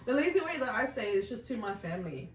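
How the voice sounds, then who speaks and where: A woman's voice: on the high side, big pitch swings, fast, a little hiss or hum. A young woman from New Zealand with a New Zealand accent, chatting and teasing her partner in casual banter, laughing often. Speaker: co-host of couple food channel. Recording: echoey room, mic at a distance.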